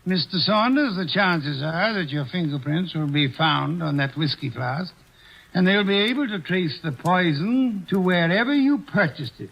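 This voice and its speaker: Brief: a high-voiced, dryly sardonic heavyset master detective, 1940s radio play, muffled lo-fi transcription. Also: male voice, expressive, creaky, full character voice. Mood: calm, lecturing. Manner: measured, urbane, sardonic. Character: corpulent, dry, imperious older male detective. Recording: dull lo-fi old radio transcription.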